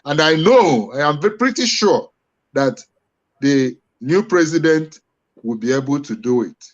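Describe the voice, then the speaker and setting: Nigerian male panel guest, higher-set voice, emphatic and oratorical political commentary, dull lo-fi sound. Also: lively intonation, measured pace.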